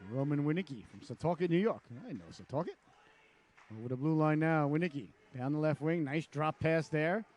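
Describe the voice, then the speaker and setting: A man with a raspy voice from a cold, calling live hockey play-by-play with chatty asides. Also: on the high side, a little mellow. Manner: chatty asides between rapid calls. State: hoarse from a cold. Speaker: play-by-play announcer.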